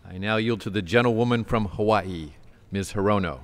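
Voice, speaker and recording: A man's voice: on the low side, fairly quick. A man presiding over a legislature, formally calling on the next speakers. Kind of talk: formal parliamentary announcements. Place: large legislative chamber.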